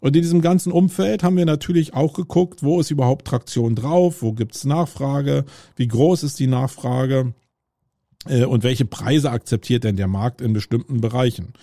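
A man's voice: mid-range voice, warm tone, dry recording, clean studio sound. A middle-aged man from Germany hosting his own podcast, talking it through aloud outdoors in a city, with many fillers and restarts. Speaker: podcast host. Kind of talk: casual solo podcast monologue. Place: outdoors on a city street with roadwork noise.

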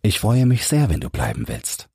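A man's voice: on the low side, huge pitch swings, normal speed, a little mellow, husky, dry recording, studio quality, heavily acted. A male narrator voicing a courteous, friendly doctor in an audiobook, polite and a little puzzled by his clever friend. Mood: welcoming.

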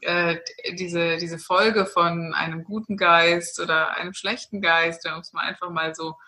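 A woman's voice: on the low side, fairly even intonation, measured pace, sharp tone. A woman talking earnestly about spirituality in an interview, with many fillers and restarts.

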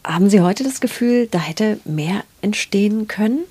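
A woman's voice: mid-range voice, normal speed, dark tone, slightly rough. A woman hosting a conversational literary interview. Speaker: interviewer and host.

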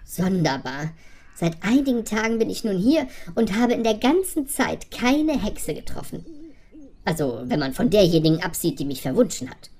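A man playing a grumpy, squeamish enchanted frog prince in a fairytale radio play, his voice husky and rough-edged. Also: high voice, big pitch swings, normal speed, a little mellow, full character voice. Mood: grumpy, wary.

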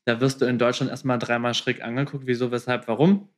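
Guy hosting a podcast interview, average pitch, conversational, throws in english phrases. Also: little pitch movement, fast talker. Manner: mixes English phrases into speech. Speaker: podcast host.

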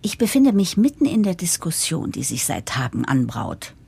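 An earnest, diplomatic office narrator in an audio drama. Her voice is slightly low and husky, with very varied intonation. She speaks formally and with composure. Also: very animated, normal speed, a little mellow, heavily acted. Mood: composed. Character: earnest, composed woman narrator championing change, jargon-heavy.

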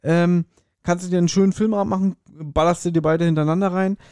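A man with a soft, dark-toned voice co-hosts a podcast, recorded very dry. He chats casually and explains his opinions in slangy language. His pitch sits a little above average. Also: fairly even intonation, quick pace, smooth, dry recording. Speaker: podcast co-host. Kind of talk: casual chat.

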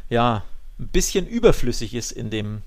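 A man's voice: mid-range voice, big pitch swings, moderate pace. A man talking football in a casual, colloquial and opinionated way, with emphatic delivery. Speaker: football podcast host.

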